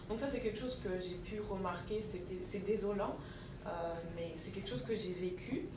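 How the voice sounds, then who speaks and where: Panel guest, 20s, Belgian French accent, earnest, fillers; distant echoey mic. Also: female voice, mid-range voice, moderate pace. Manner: earnest, self-correcting with many fillers. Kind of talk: panel discussion. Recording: echoey room from far off, noisy.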